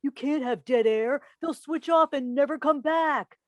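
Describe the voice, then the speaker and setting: A woman's voice: sits high, measured pace, dry recording. A woman in a staged play reading, playing a chatty, coaxing radio call-in host and doting, meddling mother with an emphatic theatrical delivery. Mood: flustered, apologetic. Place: indoors on a video call. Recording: recorded over video call.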